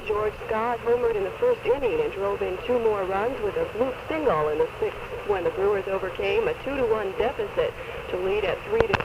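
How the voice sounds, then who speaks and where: A man's voice: high-pitched, highs cut off. A man reading scripted sports news, captured on a 1970s AM radio recording. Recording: very noisy 1970s AM radio taping.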